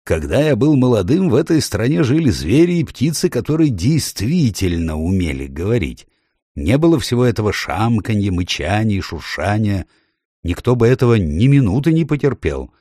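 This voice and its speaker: A man's voice: lowish voice, big pitch swings, moderate pace, warm tone, no echo, clean studio sound, voice pushed up. A man voicing a grumpy, hard-of-hearing old male dwarf lord in a storybook, muttering irritably. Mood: grumbling, scornful. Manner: irritable muttering, mishearing and grumbling.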